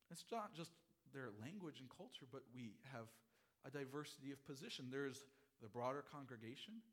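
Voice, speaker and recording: A middle-aged pastor preaching a sermon, his voice hoarse from a cold, earnest and expository, often repeating phrases. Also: on the high side.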